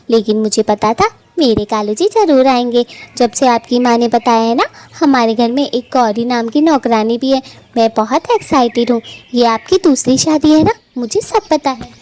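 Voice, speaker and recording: A woman's voice: sits high, a little mellow. A woman voicing Pinky, a vain young bird bride.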